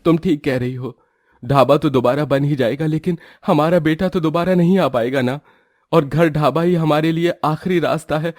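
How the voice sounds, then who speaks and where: A man's voice: on the high side, fairly even intonation, moderate pace, a little mellow, natural voice. A man voicing a worried aging village husband. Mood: earnest. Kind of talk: animated story dubbing.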